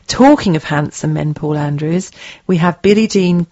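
A woman's voice: low-pitched, normal speed, mellow, lo-fi sound. A woman co-hosting a radio show, chatting casually and reading out listener tweets with a bouncy, laughing manner. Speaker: radio co-host.

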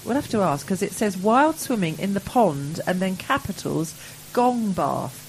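A woman's voice: medium pitch, very animated, measured pace, a little hiss or hum, low-quality recording. A woman co-hosting a business radio show from a remote link, chatting and reading out event listings with playful asides. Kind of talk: casual radio chat.

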